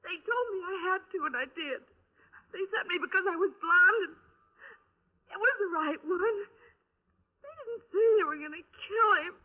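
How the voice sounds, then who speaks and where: A woman's voice: extremely high pitch, animated, a little slow, pauses often, phone-quality sound. A woman acting a frightened young American woman in desperate denial, tearful and pleading, in 1950s radio melodrama style. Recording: thin, reverberant 1950s radio recording.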